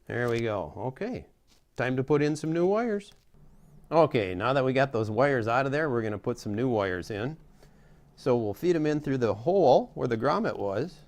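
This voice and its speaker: Man, middle-aged, gravelly fry, mid-pitched, folksy, informal, walking through a repair step by step. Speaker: how-to host demonstrating a repair. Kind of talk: casual hands-on tutorial narration.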